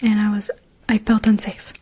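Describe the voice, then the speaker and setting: A woman telling a personal story in a soft whisper, chatty and casual with many "like"s and "um"s. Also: medium pitch, little pitch movement, moderate pace, breathy edge. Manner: whispering softly throughout; chatty, lots of "like" and "um".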